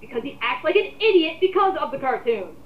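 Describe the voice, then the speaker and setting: High-pitched, exasperated woman, echoey recording. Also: big pitch swings, brisk. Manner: exasperated, emphatic, profane. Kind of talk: casual opinionated group rant. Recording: muffled, echoey amateur voice recording.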